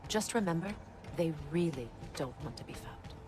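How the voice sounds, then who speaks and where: Resolute low-voiced warrior goddess, over music. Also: female voice, lively intonation. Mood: businesslike. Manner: earnest, deliberate. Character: proud, resolute warrior goddess, earnest and commanding. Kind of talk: dramatic video game acting.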